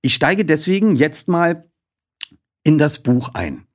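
Man hosting and reading, very varied pitch. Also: very animated, slow. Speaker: presenter reading from a novel. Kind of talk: casual hosting and audiobook narration.

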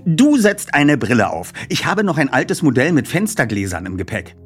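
A man's voice: mid-range voice, big pitch swings, moderate pace, faint music behind, voice pushed up, lightly acted. A man voicing a bossy teenage boy detective who talks in a precise, pompous, wordy way in an audiobook. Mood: bossy, decisive.

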